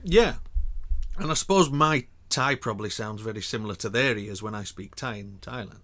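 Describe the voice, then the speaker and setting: A man's voice: lowish voice, normal speed, fairly bright tone. A man co-hosting a podcast, telling opinionated stories with the odd swear word and laughing easily. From England. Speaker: podcast co-host. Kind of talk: casual chat.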